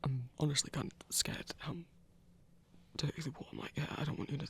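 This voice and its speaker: Someone playing a hesitant, husky, nervous hunter. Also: medium pitch, steady tone, brisk, a bit bright, whispered. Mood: anxious, ashamed. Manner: hesitant, stammering, anxious. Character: nervous, self-conscious werewolf hunter, shyly accepting care. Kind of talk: ASMR romantic roleplay.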